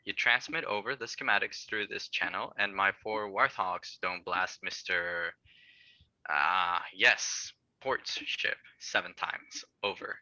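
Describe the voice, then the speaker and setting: A man playing a polite but menacing tycoon villain in a space audio drama, smug and mock-courteous, speaking deliberately. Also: low voice, fairly even intonation, sharp tone, no echo, muffled recording, full character voice. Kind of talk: audio drama table read.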